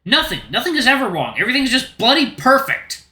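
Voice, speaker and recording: A man's voice: high voice, expressive, brisk, bright, forceful tone, reverberant, heavily acted. A man voicing a bitter, exhausted young human man who talks in a raised, resentful way.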